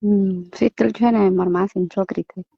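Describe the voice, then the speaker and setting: A woman with a creaky edge to her voice chats casually over the phone. She sounds coy and evasive, and the recording is dull and dry. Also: medium pitch, steady tone, moderate pace, mellow, dry recording. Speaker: phone caller. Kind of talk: casual private phone chat. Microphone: phone call recording.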